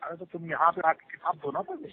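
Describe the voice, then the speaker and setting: A man's voice: high voice, sing-song, a little fast, gravelly, slightly rough, dry recording. A man answering a phone call in an argument, curt, exasperated and repeating himself. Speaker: religious leader answering a call. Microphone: telephone. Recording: tinny phone line, crowd noise behind.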